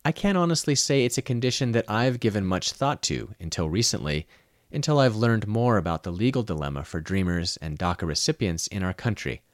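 Man, American accent, fairly low pitch, podcast host, narrator and interviewer. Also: animated, a little fast, dry recording, studio quality. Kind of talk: scripted narration and interview questions.

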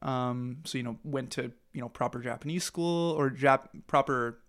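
A man's voice: mid-range voice, moderate pace, a bit bright. A young man, a podcast guest, telling his life story in a loose, rambling way with many fillers. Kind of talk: casual interview.

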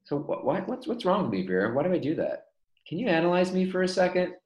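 A man's voice: high-pitched, fast, reverberant. A man chatting casually and questioning himself, with lots of fillers and restarts. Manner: animated self-questioning, many likes and restarts. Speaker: group member. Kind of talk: casual video-call chat.

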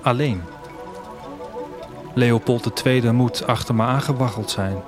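A male actor voices a restless, wistful traveller in an audio drama. He tells his story reflectively in the first person, with a mid-range male voice. Music plays clearly behind him. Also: mid-range voice, measured pace, natural voice. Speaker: narrator.

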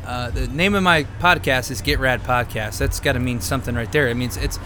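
A man's voice: mid-range voice, brisk, pushed and bright, slight background noise. A man with a nasal, youthful-sounding voice, chatting and telling stories in a rambling, stammering way as a podcast host. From West Coast US. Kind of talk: casual chat, storytelling.

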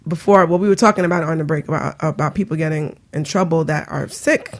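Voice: mid-range voice, quick pace. Radio host, curious, keeps the questions coming. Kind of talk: casual radio talk show hosting.

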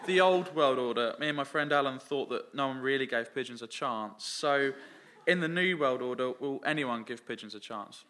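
A man's voice: mid-range voice, moderate pace, few pauses, a bit bright. A man speaking casually as an audience member asking a question, hesitant and apologetic. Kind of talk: casual chat. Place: radio theatre audience. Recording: faint noise in live theatre.

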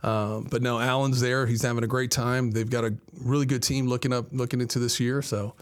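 A man in his forties from Miami speaks at a medium pitch. He is a college athletics director answering interview questions in a conversational, storytelling style. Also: level tone, fairly quick. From Miami, Florida, United States. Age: 40s.

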